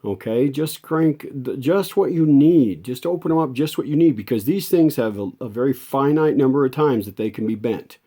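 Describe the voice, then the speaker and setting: Mellow-voiced man explaining a repair. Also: mid-range voice, expressive, normal speed, few pauses, breathy edge. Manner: folksy, explains step by step. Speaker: radio restorer giving a repair video. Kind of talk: unscripted hands-on repair demonstration.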